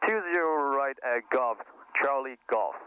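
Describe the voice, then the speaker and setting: A man's voice: medium pitch, unhurried, a little hiss or hum. A man making a pilot's radio calls and readbacks in procedural aviation phrasing. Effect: cockpit aviation radio. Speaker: private pilot. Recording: dull cockpit intercom and radio audio.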